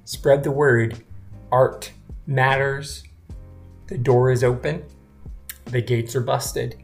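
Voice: mid-range voice, fairly even intonation, slow. A podcast host speaking informally and directly to listeners in an introduction. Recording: soft guitar music behind. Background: soft guitar music.

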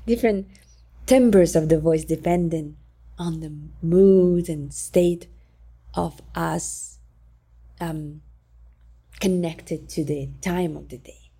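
Low gravelly woman voice, dark and mellow, speaks softly in halting non-native english. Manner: calm and gentle, halting with many fillers. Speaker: singing teacher. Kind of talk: conversational interview.

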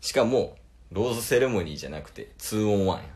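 A man's voice: on the low side, lively intonation, quick pace, a little mellow, close to an everyday voice. A man acting a proud, competitive contestant rival in an amateur radio drama, talking bluntly and informally with a Kansai accent. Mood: fiercely determined. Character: competitive older male rival on a dating show, proud and determined.

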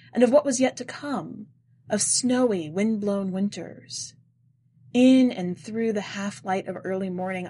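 Clear-voiced woman reading literary narration. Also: medium pitch, dark tone. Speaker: podcast editor and story reader.

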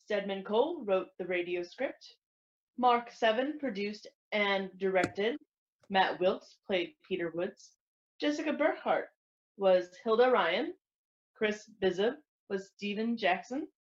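A woman playing a genteel, brisk librarian who hosts radio mysteries, polite and theatrical. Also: medium pitch, expressive. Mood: formal. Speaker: host narrator. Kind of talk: vintage radio mystery hosting. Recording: dull lo-fi recording under music.

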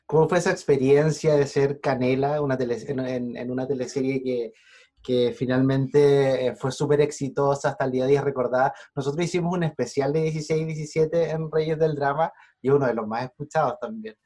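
A Chilean podcast interviewer with a dark, mellow voice. The host asks easygoing questions and keeps the talk going with short agreeing replies. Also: medium pitch, fairly even intonation, a little fast. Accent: Chilean Spanish. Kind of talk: casual interview.

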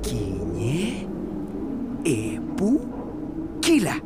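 Give the voice of a commanding hunter father in a radio play. He is excitable, and his pitch swings dramatically as he instructs his children at a slow pace. Heavy outdoor noise surrounds him. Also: exaggerated voice. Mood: hushed, commanding. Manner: hushed instructions bursting into cries. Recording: noisy outdoor ambience.

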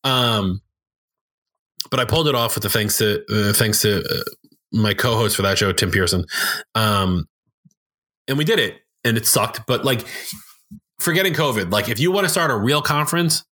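A man from New York, a guest on a podcast, telling stories in a fast, animated way with lots of fillers. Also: fairly low pitch. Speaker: podcast guest, business owner. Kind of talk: casual podcast conversation.

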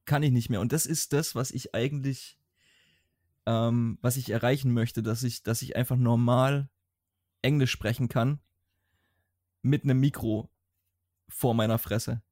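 A man's voice: medium pitch, fairly even intonation, unhurried, pauses often, darkish tone, dry recording, studio quality. A young man with a Swabian accent chatting casually as a podcast co-host, rambling and restarting his sentences.

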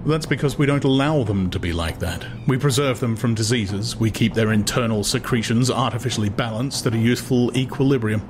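A man's voice: medium pitch, fairly quick, hardly any pauses, over music, close to an everyday voice. A man voicing a coolly unruffled, ironic man with a philosophical, lecturing manner in an audiobook. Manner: philosophical, feigned carelessness, didactic.

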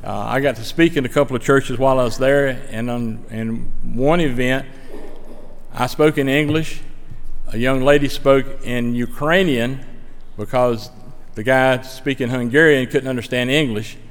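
A man's voice: medium pitch, steady tone, a little slow. Folksy North Carolina man in a reverberant hall. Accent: North Carolina, Stokes County. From Stokes County, North Carolina. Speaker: mission team member giving a trip report. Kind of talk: plainspoken slide-show presentation.

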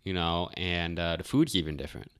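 A man's voice: low voice, fairly quick, clear, resonant tone, dry recording, studio quality. A man in a casual podcast chat, talking in a slangy, informal way with plenty of swearing.

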